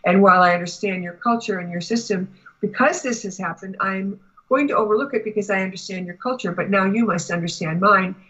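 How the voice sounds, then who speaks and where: An American woman talking conversationally as a guest spiritual teacher, explaining and telling anecdotes in a measured, deliberate way. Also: mid-range voice, bright, forceful tone, dull audio. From United States. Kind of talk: conversational interview talk with anecdotes.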